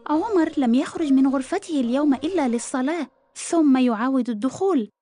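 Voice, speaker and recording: Cartoon big sister, high soft-toned voice, gentle, persuasive, determined, formal Arabic. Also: female voice, expressive, brisk, dry recording. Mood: concerned, determined. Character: caring, determined, clever older sister in cartoon family.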